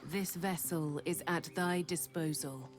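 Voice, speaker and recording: An actor voicing a solemn, stately mystical servant in a fantasy game, formal and archaic in manner. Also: male voice, fairly high pitch, fairly even intonation, measured pace, natural voice. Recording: music behind the voice.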